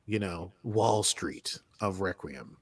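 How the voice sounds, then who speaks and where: Rambling man with a lowish voice. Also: animated, slow, no room sound. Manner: rambling, conversational, hedging with like and whatever. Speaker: podcast co-host. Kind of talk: casual TV episode recap chat.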